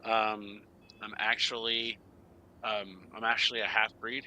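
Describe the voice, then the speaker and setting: A man with a low but very sharp, bright voice improvises his character's story at a tabletop game. He speaks haltingly, correcting himself and searching for words, over faint background noise. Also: level tone, rough recording.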